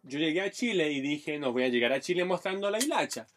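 A man from Brazil speaks with a Brazilian accent and a slightly high voice. He co-hosts a casual podcast, telling blunt, candid stories packed with local slang. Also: animated, fast, fairly bright tone, a little hiss or hum. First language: Portuguese. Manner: frank storytelling, heavy Chilean slang. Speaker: podcast co-host. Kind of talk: casual chat.

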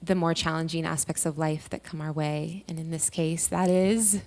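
A woman's voice: deep voice, steady tone, normal speed, a little mellow. A woman musician introducing herself as a guest, conversational, earnest and reflective.

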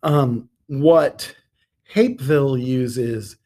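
A middle-aged man talks as a guest in a conversational interview. His delivery is earnest and measured, with a medium pitch and deliberate pauses between phrases. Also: warm tone. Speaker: podcast guest, theatre artistic director.

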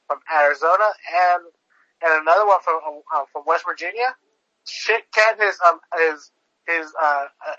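Man, high-pitched co-host, halting delivery with stacked fillers, dry lo-fi sound. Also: bright, forceful tone, no echo. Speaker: podcast co-host. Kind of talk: casual political chat.

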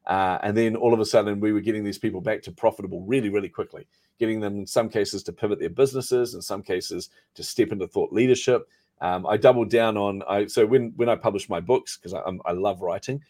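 New Zealand man, low voice. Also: a little fast, darkish tone. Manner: chatty and self-deprecating, laughs often. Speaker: podcast guest, marketing coach. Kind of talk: relaxed interview storytelling.

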